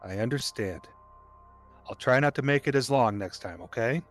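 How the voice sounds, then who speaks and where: Guy playing a guilty protective cop dad in a radio play, tender but can get stern, halting. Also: mid-range voice, animated, soft background music, natural voice. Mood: tender, reassuring. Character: protective, guilt-ridden father, a deputy hiding his vampire daughter. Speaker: voice actor in an audio play. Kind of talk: dramatic audio theatre acting.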